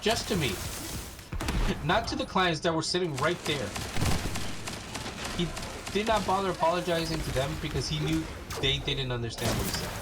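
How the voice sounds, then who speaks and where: A man's voice: a little high, moderate pace, pauses often, heavy background noise. A bilingual Spanish and English speaking man telling stories in casual chat, swearing freely. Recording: loud game music behind voice. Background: video game music, gunfire.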